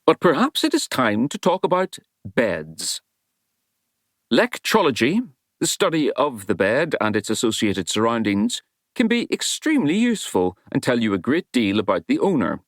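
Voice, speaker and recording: A man reading a novel as an audiobook narrator, in a wry storytelling style with measured, dramatic emphasis. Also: fairly low pitch, sing-song, no echo, studio quality.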